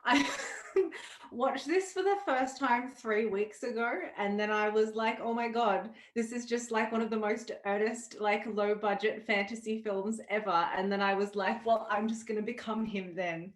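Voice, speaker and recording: A woman's voice: mid-range voice, steady tone, normal speed. A woman chatting casually and jokingly on a video call from an echoey room, peppering her talk with "like". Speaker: costumed participant.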